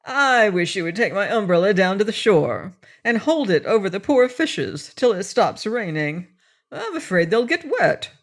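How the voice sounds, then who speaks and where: A woman's voice: medium pitch, big pitch swings, normal speed, pitched above the performer's own voice. A woman voicing a fussy, self-important little man who complains and wails. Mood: fretful. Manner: whining, fretful, wailing.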